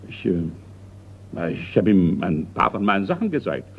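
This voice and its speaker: An actor playing a flustered elderly retired colonel in a radio crime play, halting and repeating himself. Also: male voice, sits low, big pitch swings, vocal fry, heavily acted. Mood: flustered, defensive. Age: older. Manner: hesitant, halting with repetitions. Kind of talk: German radio drama acting. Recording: dull, noisy radio recording with hum.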